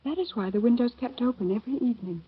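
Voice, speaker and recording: An actress playing a calm, sly teenage girl telling a grave tale, in 1930s radio acting style. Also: on the high side, fast, slightly rough. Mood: wistful. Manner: composed, soft, grave. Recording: thin phone-like old radio recording.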